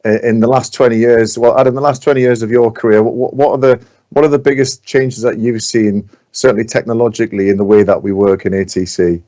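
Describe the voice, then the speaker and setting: A man's voice: mid-range voice, fairly even intonation, fast talker, warm tone, dull audio. A man hosting a podcast, putting questions to guests in a conversational way. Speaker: podcast host. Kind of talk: conversational interviewing.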